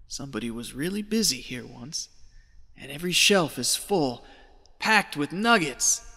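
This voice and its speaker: Feverish, echoing treasure hunter. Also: male voice, mid-range voice, bright, forceful tone, full character voice. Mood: awed, unhinged. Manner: folksy, exclamatory, muttering to himself. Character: feverish, greedy male treasure hunter descending into madness. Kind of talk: audio drama monologue acting. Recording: echoey cave reverb with music behind.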